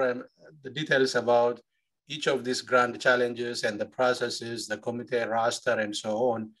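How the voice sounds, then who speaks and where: A middle-aged man from Ethiopia walks through his slides for a webinar audience. He speaks at a middle pitch in a measured, explanatory way and often pauses with "uh". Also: a bit bright. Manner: measured, with frequent "uh" fillers. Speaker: engineering professor, webinar panelist.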